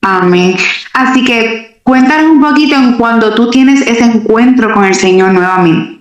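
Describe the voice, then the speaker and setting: A woman's voice: a little high, a little slow. Woman host, devout, prays and prompts guest; distant echoing mic. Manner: prayerful, repeats "Señor" and "Padre".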